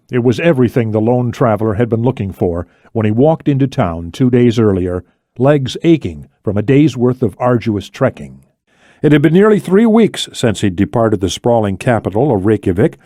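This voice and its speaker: Male narrator for thriller fiction: medium register, measured delivery, dramatic pauses, very little room sound. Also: medium pitch, warm tone, no echo, studio quality. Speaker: audiobook narrator. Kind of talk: scripted thriller novel narration.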